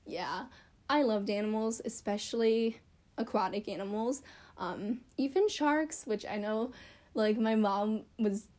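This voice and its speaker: A woman's voice: a little high, dark tone. A young woman chatting casually to camera about her childhood, rambling and self-deprecating with lots of fillers. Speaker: vlogger.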